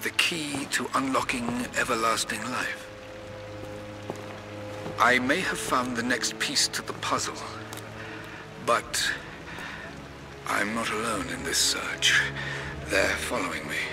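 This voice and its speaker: Scholar, obsessed, solemn, slow measured delivery, foreboding game monologue, music behind. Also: male voice, mid-range voice. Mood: fervent. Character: grave, obsessed father and scholar recording his notes.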